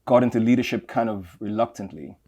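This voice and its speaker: A middle-aged man with a Trinidadian accent, speaking as an interview guest in a measured, reflective way, often repeating words as he gathers his thoughts. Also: fairly low pitch, darkish tone. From Trinidad and Tobago. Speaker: campus leader, guest. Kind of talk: interview conversation.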